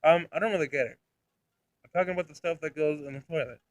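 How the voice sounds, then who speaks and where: A man's voice: on the high side, quick pace, no echo, lightly acted. A man voicing a curious, blunt seven-year-old girl with childish, direct speech in a visual novel. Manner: childish, simple, direct wording.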